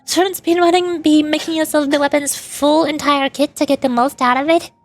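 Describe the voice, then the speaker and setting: A woman's voice: high voice, fairly even intonation, normal speed, soft background music. A woman streamer giving casual, chatty, opinionated gaming commentary in a rambling way. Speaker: VTuber streamer.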